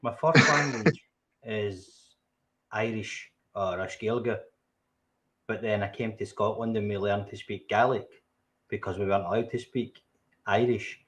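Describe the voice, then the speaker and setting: Man reading as a grumpy chatter, dry, matter-of-fact, lowish voice. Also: measured pace, a bit bright.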